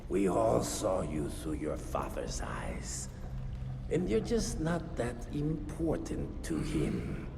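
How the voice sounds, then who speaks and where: An actor playing a mocking vampire henchman with a gravelly voice in a game cutscene. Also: low-pitched, wildly swooping pitch, background noise, full character voice. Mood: taunting. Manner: taunting, theatrical, slow. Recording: game cutscene over loud score.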